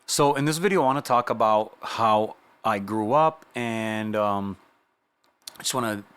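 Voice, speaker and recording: Low-voiced man telling his story casually. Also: a little slow, fairly bright tone, smooth. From Romania, moved to the United States at nine. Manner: conversational, checks in with listener with "you know" and rhetorical questions. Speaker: vlogger sharing personal testimony. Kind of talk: casual personal storytelling to camera.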